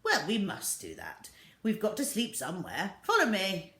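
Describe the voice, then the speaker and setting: A woman's voice: medium pitch, huge pitch swings, moderate pace, fairly bright tone, roomy sound, voice pushed up. A woman voicing a tiny bossy, can-do father in a children's story, emphatic and commanding. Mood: rallying.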